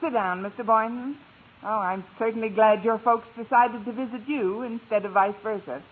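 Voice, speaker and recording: An actress playing a wry, quick-witted schoolteacher with dry delivery in a 1950s radio sitcom. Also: female voice, a little high, steady tone, husky. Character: wry, quick-witted single woman schoolteacher hosting friends. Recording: noisy, tinny 1950s radio recording.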